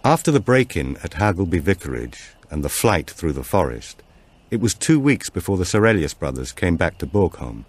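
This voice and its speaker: Deep-voiced man narrating a thriller. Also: sing-song, normal speed, breathy edge. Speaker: audiobook narrator. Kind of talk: scripted narration of a supernatural thriller.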